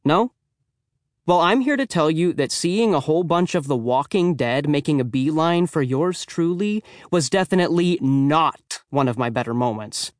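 A self-mocking middle school boy, acted by a man tells his misadventures in a children's audiobook. The voice is a little high and very dry in sound, chatty, tongue-in-cheek and dramatic. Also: lively intonation, moderate pace, no room sound, natural voice. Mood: wry dread. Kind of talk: children's audiobook first-person narration.

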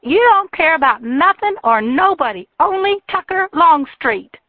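A narrator voicing a furious, jilted young Southern woman who screams her accusations in a high, shrill voice. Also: sing-song, measured pace, no echo, narrow, tinny audio, full character voice. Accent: American Southern.

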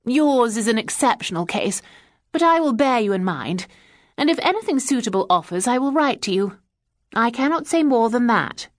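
A stately, severe agency keeper, as a woman reader puts her on, talks down to people with haughty, crushing firmness. Her lines sit high and carry more force than the narration. The recording is dry and close. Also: lively intonation, moderate pace, dry recording, close to an everyday voice.

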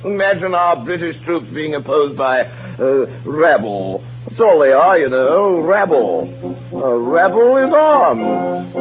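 High-voiced pompous English lord. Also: male voice, big pitch swings. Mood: smug, disdainful. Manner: pompous, drawn-out disdain. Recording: muffled vintage radio broadcast, faint music.

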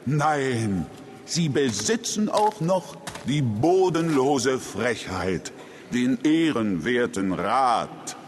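A haughty alien councillor, indignant and pompous. He declaims slowly with sweeping pitch in a long, reverberant hall, audio drama style. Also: sing-song, a little hiss or hum. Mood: outraged.

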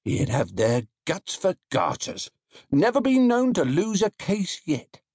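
A self-assured market greengrocer confides his advice with a lively, swooping voice, as a man puts him on. His tone is husky and dry. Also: high voice, takes it slowly, no echo, voice pushed up, heavily acted. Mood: confiding. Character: know-it-all male fruit and vegetable seller.

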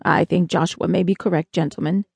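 A woman voicing a kindly, proud man from space in an audiobook, with slow, formal, polite speech. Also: fairly low pitch, expressive, creaky, husky, no room sound, exaggerated voice.

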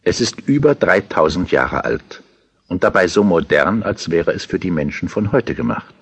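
A man's voice: low voice, animated, moderate pace, fairly bright tone, slightly rough. A man giving earnest, instructive scripted narration for an audiobook.

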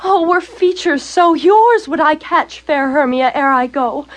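A woman's voice: high-pitched, unhurried. An actress playing a lovesick, envious young Athenian woman in Shakespearean audio theater, speaking verse plaintively and emphatically. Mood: envious, pleading. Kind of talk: full-cast Shakespearean audio theater acting.

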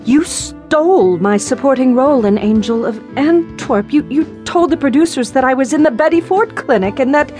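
A woman's voice: high voice, animated, normal speed, few pauses, a little mellow, soft background music. A woman playing an anxious, easily wounded soap opera actress, melodramatic and hesitant, in an audio drama. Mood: outraged. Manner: breathy sighs, hesitant, melodramatic.

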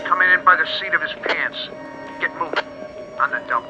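A dull, narrow radio transmission carries a curt, commanding airport boss in a radio drama. His voice sits slightly high and he gives clipped orders, with music behind. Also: fairly quick. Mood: curt, urgent. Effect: two-way radio. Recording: muffled, broken-up radio transmission.

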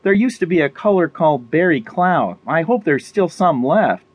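A man's voice: fairly high pitch, animated, moderate pace, muffled recording, voice pushed up. A man voicing a perky, exasperated young woman survivor in an audiobook, with a pleading, colloquial manner. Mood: wistful.

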